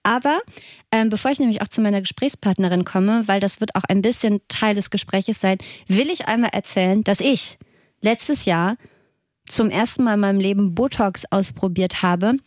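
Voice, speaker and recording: A woman's voice: mid-range voice, normal speed, a little mellow, no room sound, studio quality. A woman of thirty-nine chatting casually and candidly as a podcast co-host, with some jokey self-deprecation. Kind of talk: casual chat.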